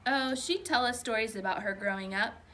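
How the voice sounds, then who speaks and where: Woman voicing easygoing dad, folksy and bright. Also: a little high, fairly quick, a little hiss or hum. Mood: fond, easygoing. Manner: chuckling, folksy reminiscing. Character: easygoing dad, fondly reminiscing storyteller.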